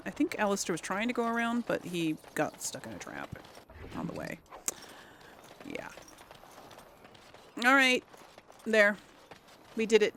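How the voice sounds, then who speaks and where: A woman gives casual video game commentary at an unhurried pace, thinking aloud in a folksy way. Her creaky voice has a wide, varied melody. Faint noise runs behind it. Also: medium pitch, a bit bright. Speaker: let's play host.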